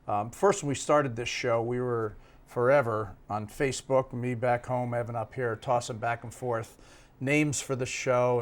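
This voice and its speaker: Man chatting casually as sports show host. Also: mid-range voice. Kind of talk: casual chat.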